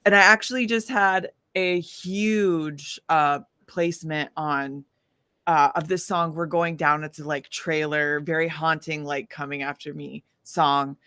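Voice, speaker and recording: A woman in her thirties talking casually as an interview guest, animated and gushing, peppering her talk with "like" and "you know". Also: low-pitched, a little slow, clean tone, no room sound. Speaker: interview guest, independent singer-songwriter.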